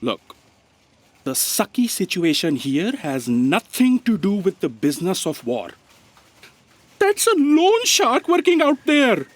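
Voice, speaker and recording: A woman acting as a cynical office copy aide in a comic radio play. The voice sits at a middle pitch and moves around widely, with dry, sardonic delivery. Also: wildly swooping pitch, takes it slowly, full character voice. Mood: exasperated. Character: cynical, long-suffering bureaucratic copy aide. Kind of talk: scripted audio comedy drama acting.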